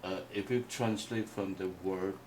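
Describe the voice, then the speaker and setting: A man whose first language is Mandarin, teaching a painting workshop in a halting way with many restarts. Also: deep voice, steady tone, over loud music. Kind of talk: hesitant workshop explanation. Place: indoor workshop room. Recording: distant mic with faint noise.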